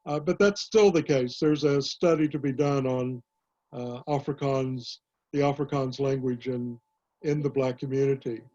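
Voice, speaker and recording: An older man, a historian and author, answering questions in a panel talk, measured and full of pauses. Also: mid-range voice, warm tone, muffled recording. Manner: measured, many "uh" pauses and restarts. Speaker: author and historian, guest speaker.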